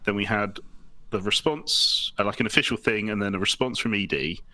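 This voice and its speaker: A man co-hosting a podcast, lively and inquisitive, putting questions to the others. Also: fairly low pitch, a little fast. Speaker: podcast co-host. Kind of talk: casual podcast discussion.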